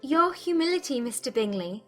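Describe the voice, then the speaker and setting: Witty, challenging young gentlewoman played by a woman, fairly high voice, articulate period audiobook drama, faint music. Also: big pitch swings, moderate pace. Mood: teasing. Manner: formal, articulate, pointed. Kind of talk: dramatised classic novel audiobook.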